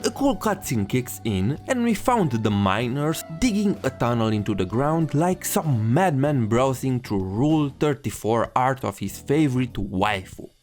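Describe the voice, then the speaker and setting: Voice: mid-range voice, very animated, a little slow, hardly any pauses, darkish tone, faint music behind. A narrator giving an irreverent, sarcastic scripted comedic recap of a video game story.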